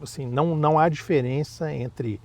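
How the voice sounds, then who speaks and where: A man's voice: a little high, moderate pace, gravelly, a little mellow. A man in his thirties with a Brazilian Portuguese accent, chatting casually as a father and co-host, often agreeing with his partner. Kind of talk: casual chat.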